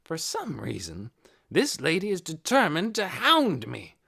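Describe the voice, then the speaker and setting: A man's voice: on the high side, huge pitch swings, measured pace, gravelly, bright, forceful tone, breathy edge, voice pushed up, full character voice. A reader voicing a tall, stooped, pompous man who speaks formally and with indignation in an audiobook. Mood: haughty. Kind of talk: audiobook character voice.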